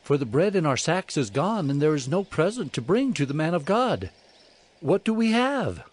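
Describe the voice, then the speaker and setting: A man's voice: on the high side, very animated, normal speed. An actor playing a humble, concerned young man in a dramatized scripture, earnest and questioning.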